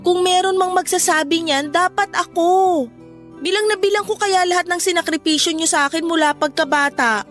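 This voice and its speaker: A woman with a Filipino accent playing a devoted, forgiving young adult daughter, earnest and gently persuasive, in a Tagalog radio drama. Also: very high voice, normal speed. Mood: earnest, grateful. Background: music.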